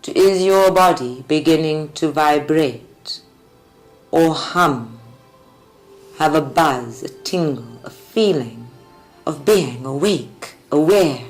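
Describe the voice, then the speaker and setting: Meditation guide, female, unhurried delivery with long pauses, soft music underneath. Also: sits low, animated. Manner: deliberate, measured phrasing with long pauses. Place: group meditation at a creativity centre. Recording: live recording with music behind. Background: faint music.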